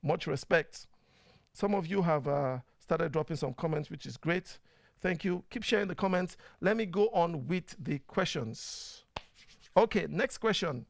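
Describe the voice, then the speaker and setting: A man's voice: on the high side, expressive, measured pace, creaky, dry recording, clean studio sound. A man with a Nigerian accent, hosting a live online program and talking casually through viewers' questions with many fillers. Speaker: host. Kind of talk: casual live-stream talk.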